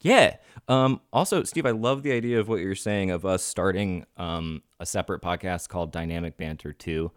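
A man's voice: sits low, normal speed, hardly any pauses, smooth, no echo. A man co-hosting a casual podcast livestream from his in-laws' home, teasing his co-host with dry humor. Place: in-laws' home, Palm Springs.

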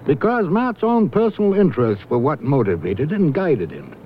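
A gossipy know-it-all in an old radio play, super animated, thin tinny audio. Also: male voice, a little high, moderate pace. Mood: wry, gossipy. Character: gossipy, knowing man sizing up an oddball acquaintance. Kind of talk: 1970s radio drama acting. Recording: tinny, band-limited old radio recording with hiss.

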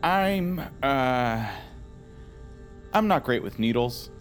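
A man's voice: medium pitch, animated, very slow, faint music behind. A man playing an earnest, determined hero on a rescue mission in an audio drama, plainspoken and a little awkward. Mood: determined, uneasy. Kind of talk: audio fiction acting.

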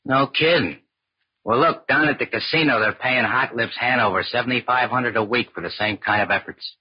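An actor playing an easygoing out-of-work trumpet player and crook's pal, with American slang, in 1940s radio drama style. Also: male voice, mid-range voice, normal speed, husky, lightly acted. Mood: amused, casual. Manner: slangy sidekick talk. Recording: muffled vintage radio recording.

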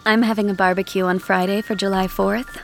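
Audiobook socialite, played by a woman: polished, sugary, insincere, creaky mid-range voice. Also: medium pitch, level tone, brisk, soft background music, lightly acted. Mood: breezy, gracious. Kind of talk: audiobook character dialogue.

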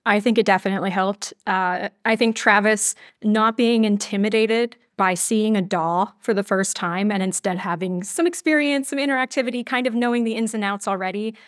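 A woman's voice: medium pitch, steady tone, a little fast, few pauses, no echo, clean studio sound. A woman talking casually as a guest in an interview about her creative work.

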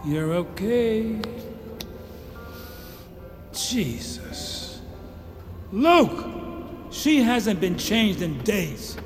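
A man's voice: high-pitched, lively intonation, extremely slow, pauses often, exaggerated voice. An actor playing a tender grandfather soothing a small child in an audio drama. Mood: exasperated. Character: grandfather tenderly minding his baby granddaughter. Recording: long reverb, music behind.

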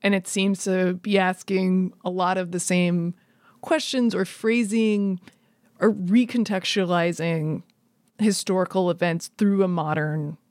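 A woman in a casual, analytical discussion, thoughtful and measured, rephrasing as she goes. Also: on the low side, fairly even intonation, a little mellow, dry recording, clean studio sound. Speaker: podcast discussion participant.

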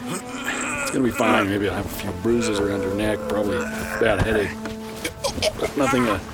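A man's voice: lowish voice, very animated, moderate pace, over loud music. A man playing a wry, chatty therapist in an audio drama, talking in a hesitant, rambling, sardonic way. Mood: strained, soothing. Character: wry, chatty, flustered male therapist who brawls apologetically. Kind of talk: naturalistic audio drama acting.

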